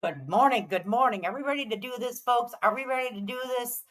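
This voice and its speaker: A woman's voice: a little high, fast, a bit bright, a little hiss or hum. A woman hosting a casual livestream, greeting her viewers in an upbeat, playful, welcoming way. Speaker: livestream host.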